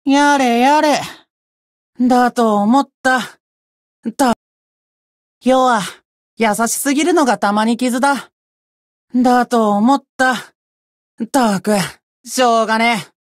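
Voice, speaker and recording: High-pitched, cocky bratty young demon. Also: female voice, slow pace, long pauses, no echo, studio quality. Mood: cocky, irritated. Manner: pompous, rough slangy boasting. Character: cocky, bratty young demon self-styled king of the underworld. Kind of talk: Japanese mobile game character voice lines.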